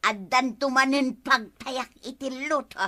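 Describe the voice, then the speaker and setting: A woman's voice: high voice, steady tone, a little slow, bright, forceful tone. A woman with an Ilocano accent playing a flustered village fee collector who keeps protesting, in an Ilocano radio comedy drama.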